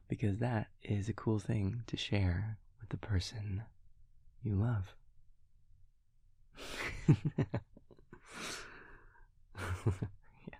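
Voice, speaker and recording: A man playing an easygoing, affectionate vampire boyfriend in an intimate audio roleplay, rambling and hesitant in a husky, gravelly voice. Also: fairly low pitch, steady tone, drawn-out words, pauses often, no echo, full character voice. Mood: tender, playful. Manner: rambling, hesitant, soft chuckles between thoughts. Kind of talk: intimate audio roleplay, naturalistic monologue to a partner.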